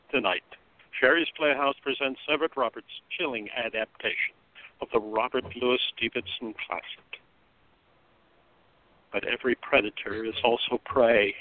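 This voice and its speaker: A melodramatic male narrator of a pulp crime radio play, performed by an actor. He speaks in a portentous, grandiose way at a medium pitch. The sound is narrow and phone-like. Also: close to an everyday voice. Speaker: announcer and narrator.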